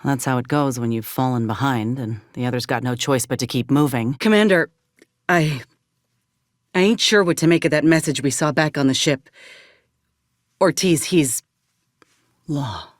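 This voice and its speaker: Low-voiced, wry soldier woman. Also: normal speed, long pauses, no echo. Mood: subdued, worried. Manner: folksy, dry, plainspoken. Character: tough, wry, weathered female soldier companion in space. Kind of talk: video game character dialogue.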